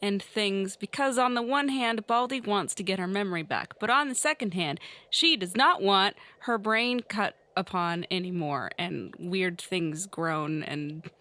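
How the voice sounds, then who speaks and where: A woman at a tabletop game tells what her character does in casual, conversational narration. Her voice is bright, at a medium pitch. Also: unhurried, few pauses, no echo.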